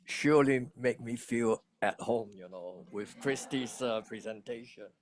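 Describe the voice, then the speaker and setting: Man, preacher, mid-pitched voice, informal sermon, conversational, frequent fillers. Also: unhurried. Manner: conversational, many "you know" fillers.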